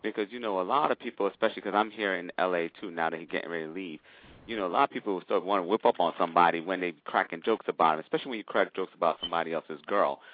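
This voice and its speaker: A man with a fairly low voice chats casually as a caller on a show. His manner is folksy and polite. He comes through a narrow, tinny phone line. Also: racing, slight background noise. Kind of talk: casual chat on a call-in show.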